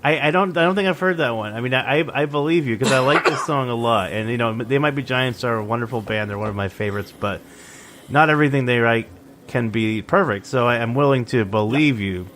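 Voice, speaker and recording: A man's voice: medium pitch, fairly quick, a bit bright, a little hiss or hum. Chatty New England man podcasting. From Central Maine. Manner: rambling, laughs often, many restarts. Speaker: podcast co-host. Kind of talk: casual chat.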